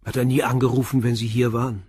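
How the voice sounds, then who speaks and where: An actor playing a calm police inspector who puts terse, probing questions in a radio crime drama. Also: male voice, medium pitch, quick pace, breathy edge, no room sound, clean studio sound.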